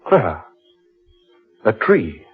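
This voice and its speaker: A man's voice: on the low side, wildly swooping pitch, slow pace, husky, full character voice. An actor playing a firm, grave man in an old-time radio horror drama, dramatic and authoritative. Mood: somber. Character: deep-voiced, firm, grave man named Humphreys. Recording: dull vintage radio recording with music.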